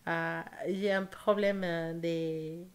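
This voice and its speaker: A woman's voice: lowish voice, steady tone. Brief: young South American woman, Spanish accent in French, careful tarot reader, stops and rephrases. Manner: slow careful speech with hesitations and self-corrections. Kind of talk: casual tarot reading to camera.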